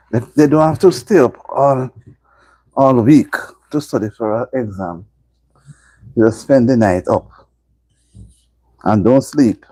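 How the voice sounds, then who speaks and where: A middle-aged Jamaican woman hosts a casual live stream and talks straight to her viewers. Her voice is husky and mellow, and her pitch swoops up and down as she repeats her points for emphasis. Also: dry recording, low-quality recording. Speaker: livestream host.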